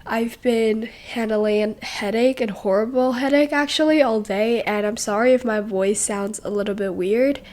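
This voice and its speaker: A young woman hosting a podcast in a rambling, casual way, full of fillers and pauses, while sick with a cold. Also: fairly high pitch, a little mellow. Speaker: podcast host. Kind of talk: casual solo podcast talk.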